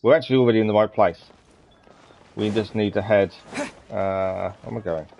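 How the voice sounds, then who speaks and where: A man's voice: on the low side, steady tone, brisk, creaky, slight background noise. Dull-sounding man streaming a game, musing aloud. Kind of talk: casual live gameplay commentary. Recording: dull lo-fi mic with game music. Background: game music.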